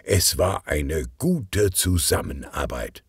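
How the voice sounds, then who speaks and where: A man doing a husky, boisterous police colleague. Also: very deep voice, very animated, takes it slowly, dry recording, studio quality, exaggerated voice. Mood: triumphant. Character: boisterous, jovial, patronising male police colleague.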